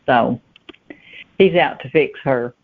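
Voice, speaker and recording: A woman giving plain-spoken, halting answers as a guest novelist in a conversational interview. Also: rumbling low. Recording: muffled, thin phone-line sound.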